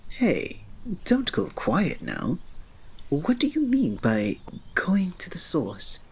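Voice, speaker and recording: A man playing a reserved, devoted royal servant in an ASMR romance roleplay, speaking softly, politely and formally with a breathy voice. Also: high voice, huge pitch swings, takes it slowly, heavily acted. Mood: earnest, questioning. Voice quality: soft, breathy. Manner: soft, polite, formal, restrained, often dropping to a breathy murmur.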